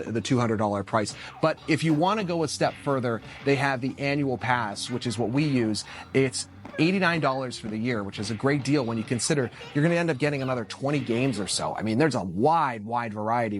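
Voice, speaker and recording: A man in his thirties, a stay-at-home dad, reviewing a toy in a chatty, upbeat way, his voice husky from a cold. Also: mid-range voice. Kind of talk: casual product review to camera.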